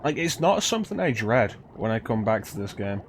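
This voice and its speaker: A man's voice: lowish voice, very animated, fairly quick, a little hiss or hum. A man giving casual commentary while streaming a video game. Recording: game music playing behind. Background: video game music.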